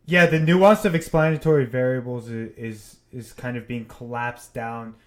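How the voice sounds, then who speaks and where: A man's voice: mid-range voice, fairly even intonation, normal speed. A man who grew up partly in Japan, co-hosting a podcast and giving blunt, opinionated views in casual chat. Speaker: podcast co-host. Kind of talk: casual discussion.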